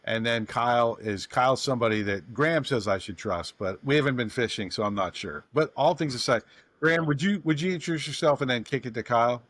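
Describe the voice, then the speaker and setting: A man's voice: mid-range voice, brisk, fairly bright tone, no room sound. A man hosting a podcast in a folksy, rambling way, introducing his guests. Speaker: podcast host.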